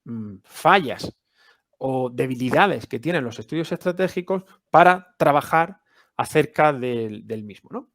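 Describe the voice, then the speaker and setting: A man hosting an academic interview podcast, talking in a measured, hesitant way with many fillers and restarts. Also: fairly high pitch, dry recording. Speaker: podcast host.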